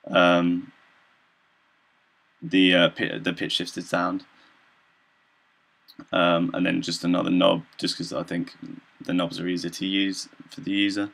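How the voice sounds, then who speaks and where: A young man giving an informal student demonstration of software, talking in a hesitant, halting, loosely explanatory way. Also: low-pitched, level tone, gravelly. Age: young adult. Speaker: student presenter.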